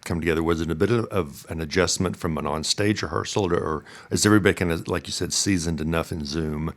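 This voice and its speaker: A man with a deep, low voice hosts an interview podcast. He speaks conversationally and a little haltingly, circling through his questions as he asks them.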